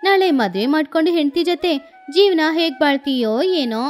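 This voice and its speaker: A woman plays a nosy village neighbour in a storybook tale. Her voice is very high, and she teases and chides as she talks, over faint music. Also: lively intonation, moderate pace. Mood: teasing. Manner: chiding, teasing.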